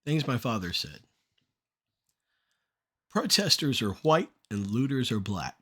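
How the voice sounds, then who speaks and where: A middle-aged man performing rhymed spoken-word poetry in a measured, deliberate delivery with short phrases. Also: medium pitch, very animated, sharp tone, slightly rough.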